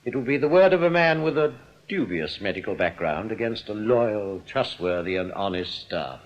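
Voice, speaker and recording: An English actor playing a suave, scheming bank manager in a radio drama, wordy and full of oily, theatrical politeness. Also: male voice, on the low side, lively intonation, normal speed, muffled recording, lightly acted. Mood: smugly menacing. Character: suave, smug, scheming male bank manager, mock-gracious crook. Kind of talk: British radio drama acting.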